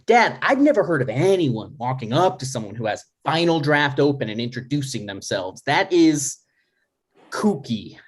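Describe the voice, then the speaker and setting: A man playing a skeptical grown son with a dry, halting delivery in a conversational comedy table read. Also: mid-range voice, big pitch swings, slightly rough.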